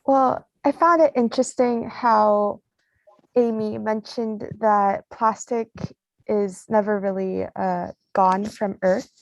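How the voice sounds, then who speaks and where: A young woman from South Korea, a student delegate, calmly sharing her views on a video call. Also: mid-range voice, takes it slowly, no room sound, low-quality recording. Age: young adult.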